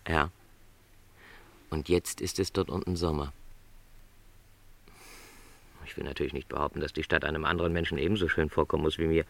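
An actor playing a gentle middle-aged travelling salesman in a literary radio play, earnest and reassuring in formal wording. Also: extremely low pitch, level tone, fast talker, gravelly. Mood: gently encouraging.

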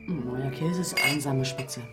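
A woman's voice: a bass voice, measured pace, music in the background. An actress playing an inquisitive, self-assured woman journalist from Paris in a radio crime drama. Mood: probing, casual.

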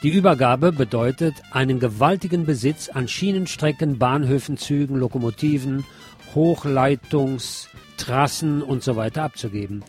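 Man, radio host, medium-pitched voice, news reading with commentary, faint guitar music behind. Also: moderate pace, darkish tone.

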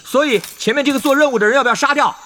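High, rapid-fire chatterbox young gamer. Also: male voice, pushed and bright, a little hiss or hum. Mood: indignant. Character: cocky talkative young male gamer, excitable and nagging. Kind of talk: Chinese audio drama acting.

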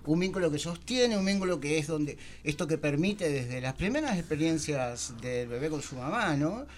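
An Argentine man hosting a radio talk show, speaking informally and haltingly as he searches for words in a discussion. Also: a little high, expressive, slight background noise. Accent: Argentine Spanish. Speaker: radio host. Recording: music under the voice. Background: music.

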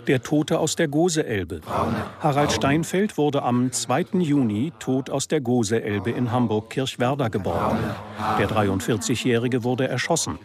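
An actor playing an announcer reading a police appeal in a radio crime drama, formal and clipped. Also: male voice, medium pitch, animated, unhurried, crowd noise, natural voice. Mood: official. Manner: clipped, official.

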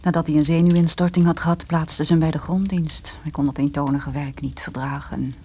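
A woman playing a seductive, nervy widow in a radio play, with a husky, breathy voice and a languid, coquettish manner. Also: sits low, steady tone, brisk, a little mellow. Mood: sombre.

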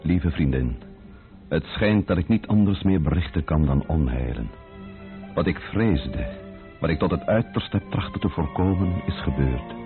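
A man's voice: rumbling low, animated. A man playing a grieving nobleman who reads out a solemn letter in a radio drama. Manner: grave, sorrowful, measured. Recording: phone-like old radio with faint music.

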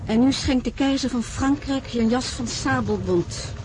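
An actress playing a composed, plain-spoken attendant to a princess in a radio drama, measured and deferential. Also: female voice, sits high, close to an everyday voice, poor audio. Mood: reflective.

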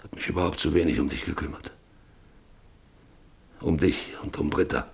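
Deep-voiced ranch patriarch for radio play; terse, troubled, used to giving orders. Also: male voice, moderate pace, creaky. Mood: remorseful, shaken. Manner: curt, insistent, brooding. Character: domineering, troubled rancher, husband and father. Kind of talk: German radio drama acting.

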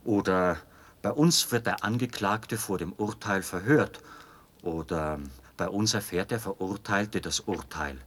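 A husky, fervent, fanatical officer. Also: male voice, on the low side, a bit bright, exaggerated voice. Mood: sardonic, conspiratorial. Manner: insistent, persuasive, theatrical emphasis. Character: fervent male officer, fanatically devoted to an execution machine. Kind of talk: German radio drama acting.

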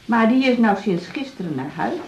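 A woman's voice: a little high, animated, normal speed, darkish tone, highs cut off, a little hiss or hum. An actress playing a lonely, plain-spoken older woman who is a hospital patient in a radio drama. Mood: plaintive, chatty. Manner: plain-spoken, wheedling, folksy. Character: chatty, lonely, frail but cheeky older female hospital patient. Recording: roomy, echoing radio drama studio.

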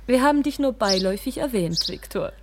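Eine Schauspielerin spielt in einem Hörspiel eine resolute, neckende junge Dame des Hauses, die freundlich bestimmt spricht. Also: female voice, fairly high pitch, animated, a little fast. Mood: brisk, teasing. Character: brisk, teasing young lady of the house. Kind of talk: radio drama dialogue. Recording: outdoor scene with birdsong, noisy.

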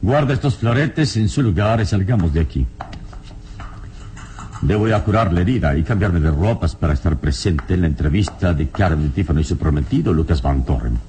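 An actor playing a serene, noble adventurer hero in an old radio serial, speaking in a measured, solemn way. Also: male voice, expressive, dark tone. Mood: calm, authoritative. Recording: noisy, rumbling old radio recording.

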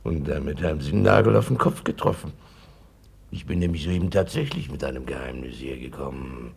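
A servile, scheming landlord in a 1950s radio drama, all flattery and secrets. The voice is low and gravelly, with a rough, husky edge, and it swoops up and down as he fawns and insinuates. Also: fairly quick, full character voice. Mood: conspiratorial. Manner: obsequious, gushing, conspiratorial. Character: fawning, scheming male landlord. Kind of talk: 1950s radio play acting.

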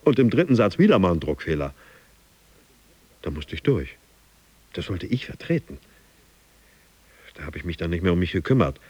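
A man's voice: deep voice, very animated, normal speed, long pauses, a little mellow, husky, dry recording, heavily acted. A man playing a stressed businessman in an audio drama, brooding aloud in fragmented confessional monologue. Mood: bitter brooding. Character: stressed sales-rep businessman, monologuing gym client.

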